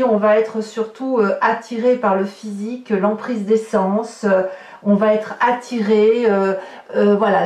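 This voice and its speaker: A woman giving an unscripted tarot reading as a guidance monologue, halting and often restarting as she searches for words. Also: mid-range voice. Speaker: tarot card reader. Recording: roomy echo, distant from the mic.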